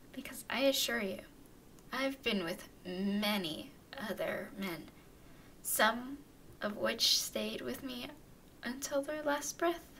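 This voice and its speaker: Woman voicing a slow-talking, haughty vampire girl. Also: fairly high pitch, a bit bright, slight background noise, poor audio. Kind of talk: ASMR roleplay, acted monologue to listener.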